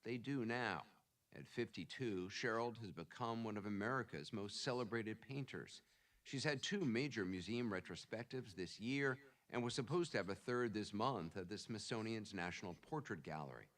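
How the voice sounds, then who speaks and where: A man narrates a news feature in a measured, formal delivery. His voice sits low and sounds set back from the mic, as if played from a clip. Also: a bit bright, distant mic. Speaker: broadcast news reporter.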